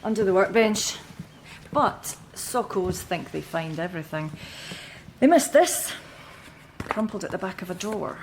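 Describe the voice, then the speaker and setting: A young detective sergeant in a radio crime drama. She speaks at a middling pitch in a dry, understated, capable way. There is clear background noise. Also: expressive, a little slow, pauses often, a little mellow. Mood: dry, observant.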